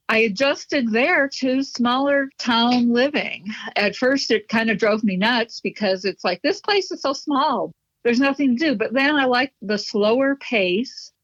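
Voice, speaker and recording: A woman's voice: a little high, fairly bright tone, no echo. Dry, muffled middle-aged woman storyteller. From Chicago, USA. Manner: chatty, reminiscent, light laughs. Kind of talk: conversational interview storytelling. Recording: dull, slightly muffled, dry.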